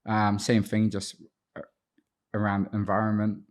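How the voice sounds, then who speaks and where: A man's voice: on the low side, completely flat delivery, measured pace. A man in his thirties with a New Zealand accent who grew up speaking Te Reo Māori, reflectively telling his personal story as an interview guest, with some hesitation. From Auckland, New Zealand. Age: 30s. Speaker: podcast guest, addiction counsellor.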